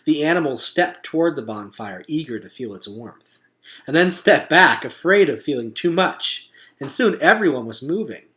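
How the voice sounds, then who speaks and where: A man narrates a storybook in an expressive read-aloud style. His voice is slightly high and very bright, with a forceful, clear-cut edge. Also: normal speed. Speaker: teacher reading aloud.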